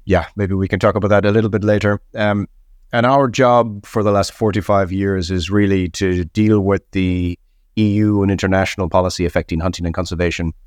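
A man giving measured interview answers, his voice slightly husky from a cold. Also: low voice, fairly even intonation, a little mellow, dry recording, clean studio sound. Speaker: guest, head of a hunting federation.

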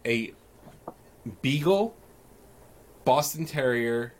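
A man's voice: medium pitch, lively intonation, very slow, a little hiss or hum, rough recording. A man co-hosting a podcast, chatting casually in a salty, joking way. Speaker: podcast co-host. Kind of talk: casual chat.

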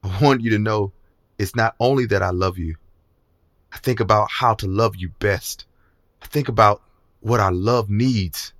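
A man playing a low-voiced, proud senator. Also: normal speed, a bit bright, no echo, clean studio sound. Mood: tender. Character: devoted, proud senator, a grown son in love. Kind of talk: audio drama acting.

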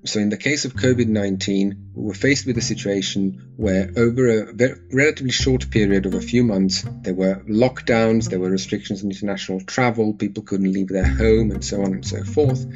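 A man from Serbia, a psychology lecturer answering interview questions in a calm, methodical way. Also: on the low side, a little fast, hardly any pauses, lo-fi sound, faint music behind.

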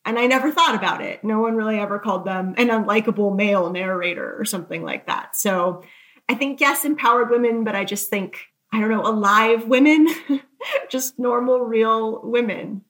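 A woman's voice: fairly high pitch, moderate pace, a bit bright. A woman author being interviewed, talking candidly and conversationally, with self-deprecating asides and many loose hedges. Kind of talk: conversational interview, telling anecdotes.

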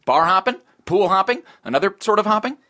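A man's voice: sits high, lively intonation, fairly quick, bright, forceful tone. A man playing a cocky, scheming slacker roommate in a sitcom table read, with a brash and exaggerated delivery. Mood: upbeat.

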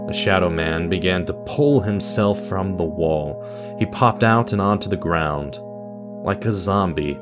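Male narrator-announcer for audio drama, lower-pitched, scripted read, guitar bed. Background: guitar music.

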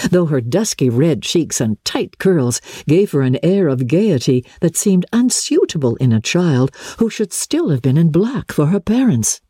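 A woman narrating classic literature as a dramatic reading, with expressive, measured storytelling. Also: extremely low pitch, husky, dry recording, clean studio sound. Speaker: audiobook narrator.

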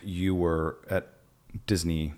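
A man's voice: low-pitched, level tone, takes it slowly, a little mellow. A man hosting an interview, asking questions in an enthusiastic, conversational way. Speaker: podcast host.

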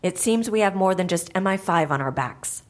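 A woman's voice: fairly low pitch, animated, normal speed, close to an everyday voice. A woman playing a cool-headed agency boss in an audio drama, terse and authoritative. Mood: grave, commanding.

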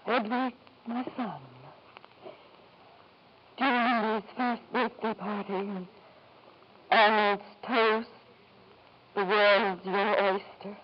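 A woman's voice: medium pitch, fairly even intonation, takes it slowly, pauses often, close to an everyday voice. An actress playing a grieving, hard-drinking middle-aged mother in an old-time radio drama, bitter, weary and theatrical. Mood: bitter, wistful. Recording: dull, noisy 1940s-50s radio recording.

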